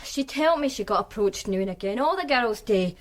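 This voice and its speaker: A woman's voice: fairly high pitch, lively intonation, fairly quick, natural voice. A woman playing a wry streetwise Glasgow woman. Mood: guarded. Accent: Glaswegian. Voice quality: dry, sardonic. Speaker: witness, flatmate of the victim. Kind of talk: radio drama acting.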